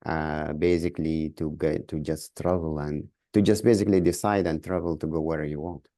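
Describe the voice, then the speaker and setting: A man from Sudan with a Sudanese accent, answering as a podcast guest in a halting way, repeating words as he searches for phrasing. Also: very deep voice, steady tone, mellow, dry recording, clean studio sound. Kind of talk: interview answers.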